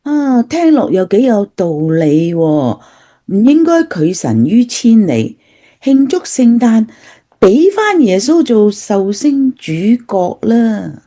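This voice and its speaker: Actress as slow, expressive Cantonese-accented seeker. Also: female voice, a little high, full character voice. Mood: won over. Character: sincere woman seeking faith.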